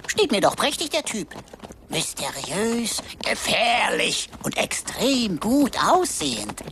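A woman's voice: medium pitch, big pitch swings, slow pace, gravelly, noisy background, exaggerated voice. A woman voicing a cocky, wisecracking computer in a German radio drama, flippant, boastful and mannered. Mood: cocky, playful.

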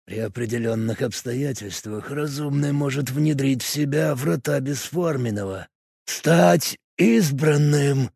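Male reader doing a zealot cultist: slow, rasping, hushed, preachy. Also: no echo, clean studio sound. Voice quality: low, creaky, husky murmur. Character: fanatical male cult adept, calm, solemn. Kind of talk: audiobook character voice.

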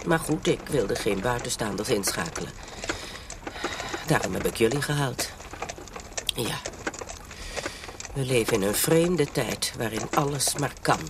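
Indignant elderly matriarch, noisy recording. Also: female voice, extremely low pitch, animated, measured pace, pauses often. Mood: exasperated. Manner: emphatic, repeats for effect. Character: prim, indignant elderly mother, self-righteous and dramatic. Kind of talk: Dutch radio drama acting.

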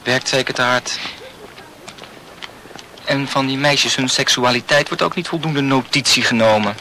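A man's voice: mid-range voice, steady tone, a little slow, pushed and bright, slight background noise. A man playing a chatty, opinionated male student in a radio play, blunt and gossipy, swearing mildly. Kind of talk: conversational radio drama acting.

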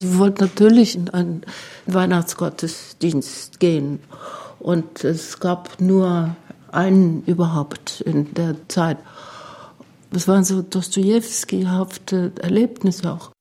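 A woman's voice: on the low side, fairly even intonation, slow pace, warm tone, slightly rough. A woman, an author being interviewed, reminisces in an informal conversational way.

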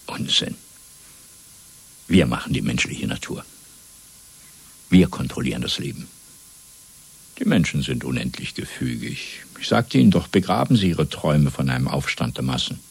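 Very deep, gravelly, menacing interrogator. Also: male voice, animated, heavily acted. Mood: dismissive, assured. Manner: measured, domineering, rhetorical. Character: cold, menacing male Party interrogator, coolly fanatical. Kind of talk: German radio drama acting.

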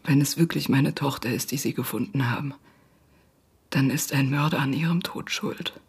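A woman playing a shattered, stubborn mother in a radio drama, speaking haltingly in a husky voice that often drops near a whisper. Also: extremely low pitch, full character voice. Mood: numb, bitter. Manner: halting, short numb sentences. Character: shattered mother told of her daughter's death, stubborn, disbelieving. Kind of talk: literary radio drama acting.

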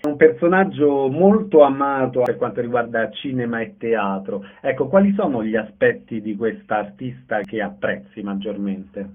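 A man's voice: mid-range voice, normal speed, hardly any pauses, slightly rough. A man hosting a radio interview, asking questions in a presenter's role. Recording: dull lo-fi radio sound.

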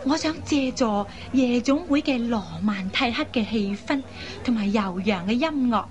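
An actress plays a lively young woman in a radio drama. Her voice sits high and she talks in a chatty, coaxing way, headstrong and full of expressive particles. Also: moderate pace, natural voice. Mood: eager, coaxing. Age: young adult. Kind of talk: Cantonese radio drama acting.

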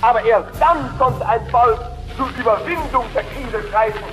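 A man's voice: extremely high pitch, moderate pace, pushed and bright, soft background music, poor audio. A man delivering an accusing, declamatory political speech as an opposition politician.

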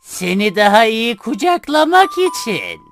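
A woman voicing a sly wolf in a children's fairy tale cartoon, coaxing slowly as it poses as a sweet grandmother. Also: a little high, big pitch swings, gravelly, soft background music, heavily acted. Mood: coaxing, menacing. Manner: coaxing, slow, drawn-out delivery.

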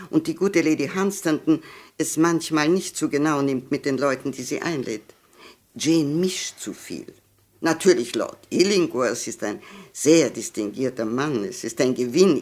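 An elderly society lady in a vintage radio drama, low for a woman. She is snobbish and condescending, rambling through gossip with small hesitations and a polished air of superiority. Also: animated, moderate pace, darkish tone. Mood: condescending, gossipy. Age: older. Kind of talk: 1960s German radio play acting.

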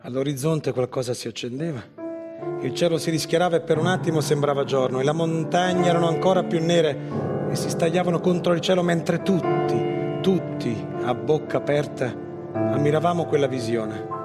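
An actor playing a dreamy witness who remembers nights of war, narrating theatrically with incantatory repetition. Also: male voice, fairly high pitch, normal speed, natural voice. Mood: awed, hushed. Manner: dramatic, repeating phrases for effect. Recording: loud music under the voice. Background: loud percussion, piano and accordion music.

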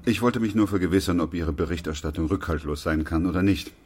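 An actor playing a weary, principled doctor who narrates a radio drama in a measured, restrained way. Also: male voice, low-pitched, darkish tone, slightly rough. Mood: firm, sober.

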